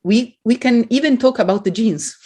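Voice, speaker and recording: A woman with an Italian accent, speaking as an academic who answers questions in an expressive, halting way. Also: a little high.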